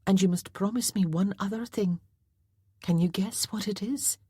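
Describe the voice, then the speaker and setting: A woman's voice: mid-range voice, brisk, mellow, slightly rough, no room sound, studio quality, pitched above the performer's own voice, natural voice. A woman voicing a tender young mother. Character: tender young Scottish mother, loving but firm.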